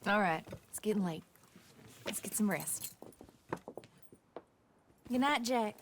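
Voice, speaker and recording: An actress playing a sharp-tongued, exasperated American frontier wife and mother in a video game. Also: mid-range voice, expressive, slow, slight background noise. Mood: weary, teasing. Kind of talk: dramatic video game acting.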